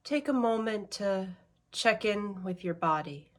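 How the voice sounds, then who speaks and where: A woman leading a guided meditation in a measured way, leaving long pauses between short phrases. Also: medium pitch, lively intonation.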